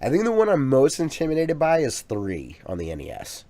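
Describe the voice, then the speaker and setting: A man's voice: on the high side, huge pitch swings, brisk, dark tone, no room sound. A man co-hosting a podcast in casual chat, rambling along with plenty of restarts and fillers. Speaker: podcast co-host. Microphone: USB microphone.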